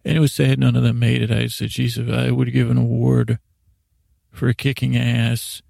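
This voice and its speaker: Man, podcast host, very flat intonation, close dry sound, halting self-deprecating sleep ramble, trailing off. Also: mid-range voice, gravelly, dry recording. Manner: meandering, halting, self-interrupting murmur that trails off mid-thought.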